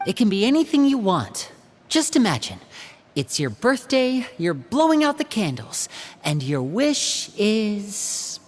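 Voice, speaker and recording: An actor playing a young male stage magician who is playful and protective, using a smooth, coaxing showman's delivery. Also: sits high, wildly swooping pitch, measured pace, full character voice. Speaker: older brother planning a rescue. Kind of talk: video game dub acting.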